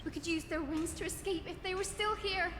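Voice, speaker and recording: A woman voicing a gentle, earnest young girl companion, anxious but determined, in an anime video game. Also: very high-pitched, brisk. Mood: worried. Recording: echoey game audio under loud music.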